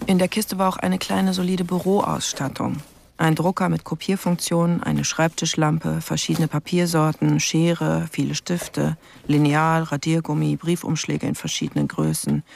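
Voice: medium pitch, unhurried, creaky, darkish tone, a little hiss or hum. An actor playing a terse investigator in a radio crime drama, listing evidence in clipped phrases and musing aloud in questions. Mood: sober, pondering. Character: terse, probing investigator going through a dead man's things. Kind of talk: radio crime drama, first-person narration.

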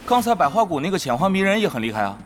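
一名男演员在广播剧中饰演忠心、爱猜测的公会副手，说话恭敬而生动。. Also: male voice, high-pitched, big pitch swings, racing, faint music behind. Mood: speculating. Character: loyal, eager male guild lieutenant, speculative sidekick. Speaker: voice actor. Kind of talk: Chinese audio drama acting.

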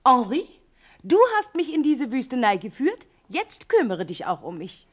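A woman's voice: high-pitched, very animated, normal speed. An actress in a German radio play voicing a playful, demanding, capricious female companion with a teasing manner. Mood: petulant.

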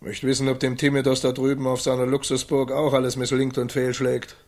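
A man's voice: medium pitch, completely flat delivery, a little fast, darkish tone, close to an everyday voice. An actor playing a weary, blunt old crusader in a German radio play, laconic and musing as he grumbles.

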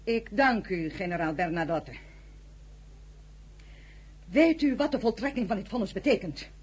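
An anguished older madame in a radio play. Her voice is husky and sits at a middle pitch. She speaks formally and with emphasis, pleading like a proud mother. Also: lively intonation, normal speed. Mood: grave, formal. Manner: formal, emphatic, pleading, with Italian words mixed in. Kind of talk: Dutch radio drama acting.

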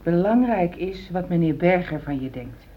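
A woman's voice: lowish voice, expressive, moderate pace, close to an everyday voice. An actress in a Dutch radio play, playing a pragmatic, ambitious housewife who insistently reassures and backs her husband. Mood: reassuring, insistent. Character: loyal, ambitious middle-aged housewife, pragmatic, supportive of husband. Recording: dull old radio recording.

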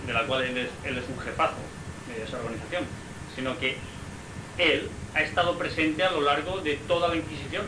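A man talking in a casual group discussion, halting yet emphatic, with rhetorical asides. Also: a little high. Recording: roomy, clearly noisy amateur meeting recording.

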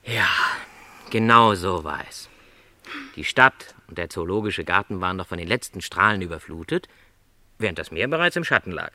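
A man's voice: low-pitched, expressive, moderate pace, sharp tone, no echo, studio quality, full character voice. An actor playing a lonely, dreamy middle-aged travelling salesman in a radio drama, speaking in reflective, rhapsodic literary phrases. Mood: insistent, dreamy.

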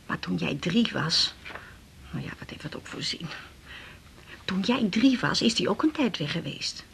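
A woman's voice: fairly low pitch, sing-song, moderate pace, vocal fry, in a whisper, exaggerated voice. An actress playing a hurt, bitter older wife and mother in a radio drama, plaintive and indignant.

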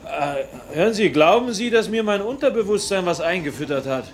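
A man's voice: sits high, animated, brisk. A man playing a world-weary, hard-drinking, self-mocking character who narrates and speaks in a noir-like radio drama. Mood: bewildered.

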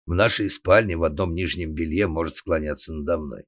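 A man's voice: deep voice, brisk, no echo. A doting, lecherous policeman of a comic audiobook, as a male narrator acts him, cooing and wheedling like a henpecked husband. The recording is dry and close. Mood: sheepish wheedling.

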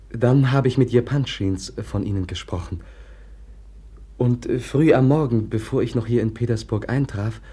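Slow, husky, gentle prince. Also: male voice, fairly low pitch. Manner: hesitant, soft-spoken sincerity. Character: gentle, dreamy, naive young prince. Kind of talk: 1950s German radio drama acting.

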